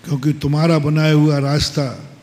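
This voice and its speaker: A man preaching a sermon as a monk, slow and emphatic, posing rhetorical questions and repeating short maxims. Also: a little high, flat delivery, darkish tone. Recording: long hall reverb.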